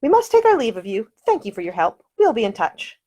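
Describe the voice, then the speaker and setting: A woman voicing a prim, sharp-tongued young lady in an audiobook. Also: fairly high pitch, wildly swooping pitch, a little fast, pitched above the performer's own voice. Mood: brisk.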